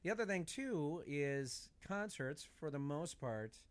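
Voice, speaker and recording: A man's voice: fairly high pitch, lively intonation, unhurried, no room sound. American man chatting casually, dry close sound. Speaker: podcast guest, actor. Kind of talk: casual chat.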